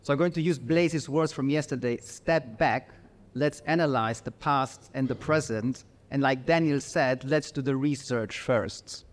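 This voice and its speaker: A middle-aged man with an Austrian accent giving a workshop lecture, measured and pausing often with fillers. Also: mid-range voice.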